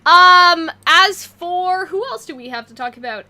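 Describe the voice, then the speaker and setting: Bright, high-pitched chatty woman. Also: lively intonation, a little slow. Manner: chatty, informal, thinks aloud with frequent "like". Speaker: online video creator and host. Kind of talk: casual fan brainstorming chat.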